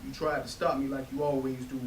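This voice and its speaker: A man's voice: mid-range voice, level tone, fairly quick, lightly acted. A man acting a brash, protective, street-tough young brother in a staged play reading, speaking in slangy Southern vernacular. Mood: grave, remorseful. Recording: distant roomy stage recording with hiss.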